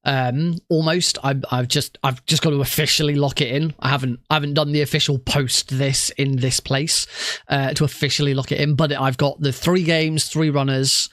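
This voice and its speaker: A man's voice: mid-range voice, little pitch movement, normal speed, a bit bright, no echo, clean studio sound. A man with a cough and a congested nose, chatting casually to stream viewers in a rambling way with many restarts. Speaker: streamer and marathon organiser.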